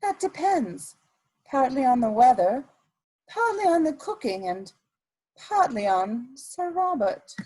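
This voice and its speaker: Arch, high-voiced scheming society lady played by a woman. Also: sing-song, slow, dark tone, breathy edge, full character voice, poor audio. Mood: coy, teasing. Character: witty, scheming society woman newly arrived from Vienna. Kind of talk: dramatic reading of a period stage comedy.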